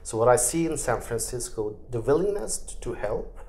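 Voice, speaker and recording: A man's voice: mid-range voice, big pitch swings, moderate pace, darkish tone. A middle-aged man from Norway with a Norwegian accent, answering interview questions in loose, filler-heavy phrasing. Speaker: interviewee, tech executive.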